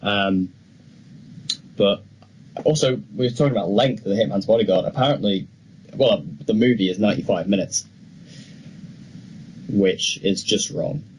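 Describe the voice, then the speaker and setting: A young man with a fairly low voice co-hosts a film podcast. He talks in a casual, chatty way and gives his opinions freely. The recording is home-made, with faint noise behind him. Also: normal speed, pauses often, a little mellow, rough recording. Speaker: podcast co-host.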